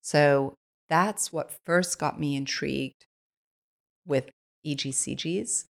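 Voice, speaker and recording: A woman guest on a podcast, chatting in a casual, eager way and filling her sentences with "like." Her voice sits low, with a gravelly fry running through her speech. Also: slow. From Switzerland. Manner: enthusiastic, lots of "like" fillers. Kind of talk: casual conversational storytelling.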